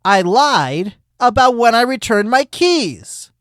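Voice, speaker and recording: A woman's voice: a little high, sing-song, slow pace, fairly bright tone, no room sound, clean studio sound, full character voice. A woman voicing a smug, hyperactive male sloth witness with a cocky drawl.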